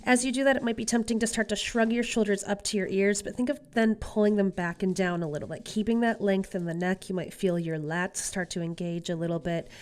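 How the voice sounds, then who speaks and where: Lady leading a yoga class, smooth clean voice, getting over a cold, casual and encouraging. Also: medium pitch, fairly even intonation, fairly quick, few pauses. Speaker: yoga instructor. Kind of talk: guided exercise class instruction.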